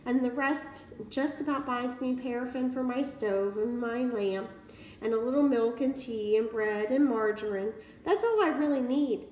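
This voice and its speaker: A woman voicing a gentle young schoolteacher who talks in an earnest, confiding way in a storybook reading. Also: high-pitched. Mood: content, firm. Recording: roomy, distant mic, faint noise.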